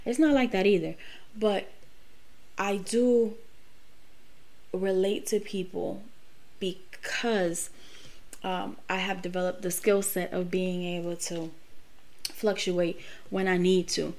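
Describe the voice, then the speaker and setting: Podcast host, woman, late 30s, frank and emphatic, swears freely, mid-pitched voice. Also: level tone, slow pace, pauses often, a little mellow. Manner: candid, profane, emphatic. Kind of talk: personal monologue.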